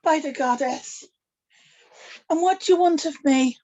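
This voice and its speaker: A woman's voice: high-pitched, unhurried, dark tone, muffled recording. A woman playing a shrewd, theatrical witch who tells fortunes, in a scripted drama table read. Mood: probing, cool.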